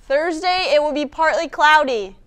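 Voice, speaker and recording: A woman's voice: sits high, animated, normal speed, a bit bright. A teenage American young woman anchoring scripted school news announcements. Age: teens. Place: indoor school studio.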